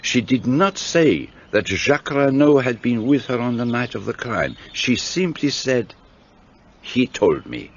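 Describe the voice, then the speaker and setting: An actor playing a precise, courteous middle-aged detective in a radio play. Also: male voice, medium pitch, lively intonation, measured pace. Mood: explaining. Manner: courteous, precise, slightly formal foreign phrasing. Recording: dull lo-fi sound.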